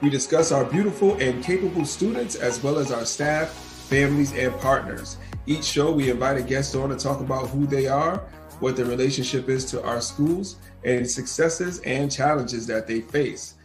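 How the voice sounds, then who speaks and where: A man's voice: mid-range voice, normal speed, faint music behind. A man hosting a school talk show, introducing and interviewing a guest with upbeat enthusiasm. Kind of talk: casual interview.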